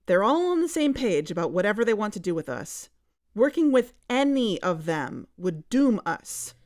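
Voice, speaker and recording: A woman's voice: mid-range voice, sing-song, normal speed, darkish tone, no echo, clean studio sound, close to an everyday voice. A woman playing an anxious, cautious and conflicted investigator in a naturalistic audio drama. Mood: frustrated.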